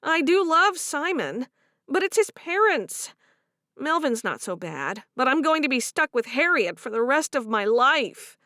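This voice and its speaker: Lady doing a weepy young woman, high pleading voice, soft and upset. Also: very animated, a little slow, no room sound, studio quality, full character voice. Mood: tearful.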